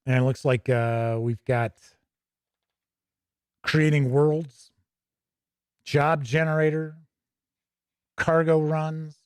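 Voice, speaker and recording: A man's voice: on the high side, little pitch movement, slow, pauses often, a little mellow, clean tone, no echo, studio quality. A man hosting a tabletop gaming stream, talking informally and thinking aloud as he goes. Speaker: host of a tabletop gaming news and review show. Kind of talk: casual livestream walk-through of a game book, chatting with viewers.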